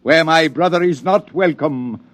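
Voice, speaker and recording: Slow, solemn old wandering preacher. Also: male voice, a little high, a bit bright. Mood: solemn, serene. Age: older. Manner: solemn, oratorical, formal. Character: gentle, fervent old wandering preacher, wild prophet. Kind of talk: old-time radio drama acting. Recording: vintage radio drama recording.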